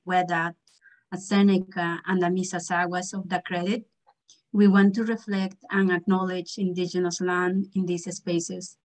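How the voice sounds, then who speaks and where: Earnest woman, steady reading. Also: lowish voice, low-quality recording. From Peru. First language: Quechua. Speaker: language activist and teacher. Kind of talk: read land acknowledgement and personal testimony. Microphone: video call.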